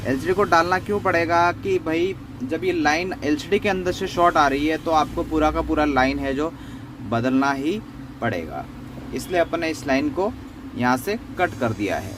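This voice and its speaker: Man explaining over clear background noise. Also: fairly high pitch, animated, moderate pace. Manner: explanatory, conversational, repeats himself. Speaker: phone repair tutorial host. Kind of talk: unscripted step-by-step repair demonstration.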